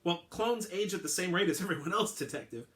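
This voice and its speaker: Guy doing a weird earnest clone dude, explains everything patiently, fixes his own words, bouncy bright voice. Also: high voice, expressive, a little fast, voice pushed up. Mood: explaining. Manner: explains patiently, corrects himself mid-sentence. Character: eccentric male clone, earnest, oddly formal.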